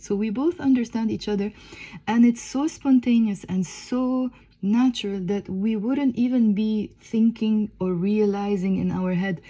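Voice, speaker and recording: A woman's voice: medium pitch, mellow. A Lebanese woman, a native Arabic speaker, explaining things as a teacher in a conversational, emphatic way, often checking in with "okay?".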